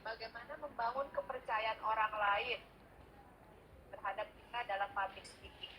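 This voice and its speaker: Hesitant woman moderator over loud noise. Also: on the high side, normal speed. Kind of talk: reading audience questions. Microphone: online call mic. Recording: loud noise on online call.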